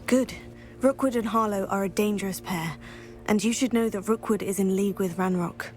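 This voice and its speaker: A woman playing a composed, curious teenage student heroine in a video game, polite and measured. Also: medium pitch, soft background music, natural voice. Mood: composed, attentive. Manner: polite, brief, measured replies. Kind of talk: video game cutscene acting.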